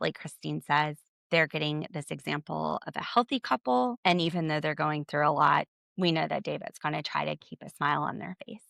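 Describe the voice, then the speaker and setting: A woman recapping a show on her podcast, speaking with a lowish, gravelly voice full of vocal fry. Her tone is blunt and opinionated, with sarcastic jabs. The sound is dry and close, with no room around it. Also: a bit bright, no echo. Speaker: podcast host. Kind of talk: solo TV recap commentary.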